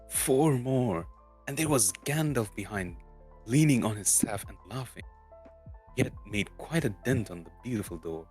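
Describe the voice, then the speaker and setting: Low voiced guy streamer reading a storybook, trips over words a bit, faint music behind. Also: darkish tone, slightly rough. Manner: halting, stumbles over words and repeats them. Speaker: streamer co-reading a novel aloud. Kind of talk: amateur dramatic storybook narration.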